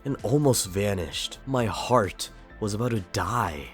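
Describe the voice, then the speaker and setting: Man playing young male anime soccer hero, earnest and introspective, expressive range, music beneath. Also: on the low side, a little slow, breathy edge, voice pushed down. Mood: reflective.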